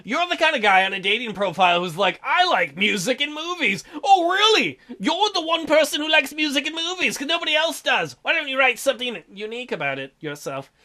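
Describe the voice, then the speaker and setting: A man's voice: very high voice, sing-song, quick pace, hardly any pauses, bright, forceful tone. A man reading a visual novel aloud as a gaming host, breaking in with crude, mocking jokes. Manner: irreverent, crude joking, mocking. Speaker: gaming video host, let's player.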